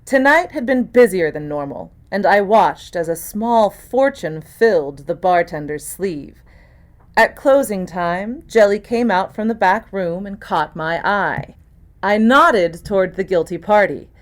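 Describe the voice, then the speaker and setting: A woman's voice: mid-range voice, animated, unhurried. A woman actor reading scripted first-person mystery narration, as for an audiobook.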